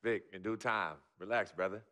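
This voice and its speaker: A relaxed basketball prospect. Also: male voice, lowish voice, normal speed. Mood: speech. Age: young adult. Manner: relaxed, street slang. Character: easygoing high school basketball star.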